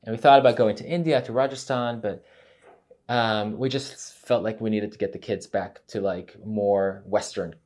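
A man's voice: fairly low pitch, steady tone, normal speed, a little mellow. A middle-aged man, a native Hebrew speaker from Israel, talking casually as an interview guest with many fillers and restarts.

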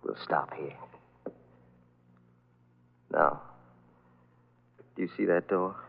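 A man's voice: very slow, vocal fry, in a large hall, heavily acted. Nervous young man, tinny old radio. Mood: hushed fear. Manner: urgent, secretive, highly strung. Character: highly nervous, suspicious young man, hunted patient. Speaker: actor in a radio mystery. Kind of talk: 1940s radio acting. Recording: thin, band-limited old radio recording.

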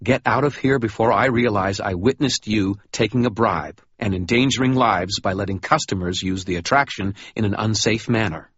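A man's voice: fairly low pitch, moderate pace, few pauses, a bit bright, slightly rough, no room sound, highs cut off, voice pushed up. A man voicing a small but tough female police officer who speaks curtly. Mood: blunt. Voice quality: curt, no-nonsense. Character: tough, tiny female cop, blunt.

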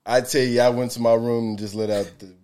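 A man's voice: medium pitch, flat delivery, fairly quick, warm tone. A man hosting a podcast, telling stories in a laid-back, slangy way. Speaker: podcast host. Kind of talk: casual chat.

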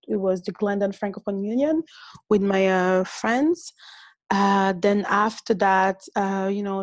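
Relaxed woman guest, dry sound. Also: mid-range voice, no room sound. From Cameroon. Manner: relaxed, with frequent fillers and pauses. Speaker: podcast guest. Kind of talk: casual interview talk.

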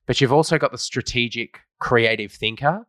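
A man's voice: mid-range voice, normal speed, a bit bright, no room sound. A man co-hosting a casual podcast, easygoing and joking, with mock-grand announcements of show segments. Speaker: podcast co-host.

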